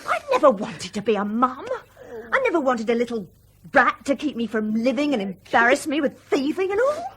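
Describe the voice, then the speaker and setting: A scornful, high-voiced mother, very animated. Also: moderate pace, a little hiss or hum. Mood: mocking. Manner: scornful, mocking. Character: selfish, defiant mother abandoning her family. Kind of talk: radio drama acting.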